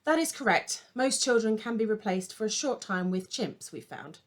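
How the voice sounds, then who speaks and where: A woman voicing an uppity little male elephant shrew, brisk, impatient and officious, in a children's storybook. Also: mid-range voice, expressive, pitched above the performer's own voice, natural voice. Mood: matter-of-fact.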